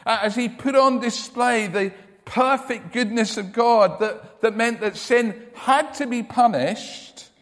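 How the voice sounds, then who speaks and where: Loud, high-voiced male preacher in a hall. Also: slow. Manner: emphatic, repeats words when starting sentences. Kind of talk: sermon.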